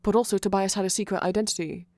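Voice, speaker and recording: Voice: medium pitch, level tone, rapid-fire, darkish tone, no echo. A speaker giving an off-the-cuff, nerdy ASMR explanation, rambling along with asides and self-corrections, close to the microphone. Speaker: ASMR audio creator. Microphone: close microphone in a dry space.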